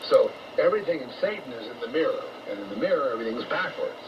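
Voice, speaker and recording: An American man preaching on shortwave radio in a blunt, confrontational, profane rant, halting and repeating himself. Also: medium pitch, big pitch swings. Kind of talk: unscripted ranting sermon. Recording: noisy muffled shortwave radio broadcast.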